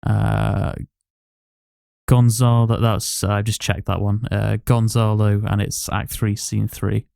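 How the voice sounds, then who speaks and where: A man's voice: lowish voice, level tone, slow pace, vocal fry, a little mellow, clean tone, dry recording, clean studio sound. A man hosting a casual podcast quiz, reading out questions and answers. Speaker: podcast host and quizmaster.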